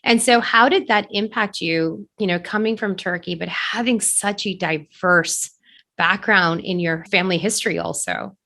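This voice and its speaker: A woman's voice: medium pitch, normal speed. A woman hosting a podcast interview, reacting eagerly and asking questions in a casual way. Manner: animated, laughing easily, eager reactions. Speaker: podcast host.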